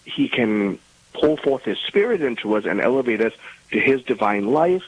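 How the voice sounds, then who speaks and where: A husky-voiced man from Malaysia speaks as a radio interview guest. He explains earnestly, often restarting his sentences. His tone is level and steady, in the middle of the usual male range. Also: mid-range voice, normal speed, no room sound, muffled recording. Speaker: author and radio host as guest.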